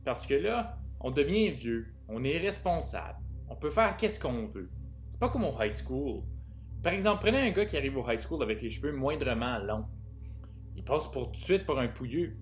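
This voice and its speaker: A man's voice: mid-range voice, big pitch swings, moderate pace, faint music behind, lightly acted. A man reading the part of a teenage boy who dreams of university, speaking offhandedly to the audience. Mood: musing. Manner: offhand, telling the audience about his life. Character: teenage boy about to finish school, a dreamer. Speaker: reader in a staged play reading. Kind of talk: theatre reading of a monologue.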